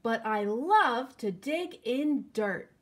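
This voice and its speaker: A woman voicing an eager, well-meaning male pet dog from a picture book, earnest and drawn-out. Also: a little high, expressive, husky, full character voice. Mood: tempted.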